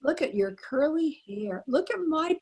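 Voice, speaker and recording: A woman's voice: high voice, animated, normal speed, darkish tone, slight background noise. An older woman with casual delivery, reminiscing as a guest on a panel. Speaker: panel guest, former child radio actress.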